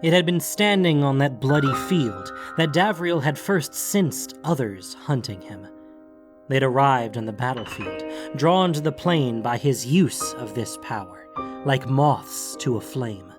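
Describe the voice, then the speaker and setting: A man's voice: medium pitch, expressive, a little slow. Man narrating fantasy over music. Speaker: audiobook narrator. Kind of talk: dramatic scripted fantasy narration.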